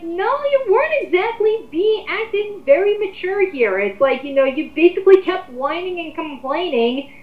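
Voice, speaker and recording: A woman giving casual, mocking commentary as a co-host, sarcastic and quick to swear. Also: high-pitched. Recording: roomy, muffled home recording.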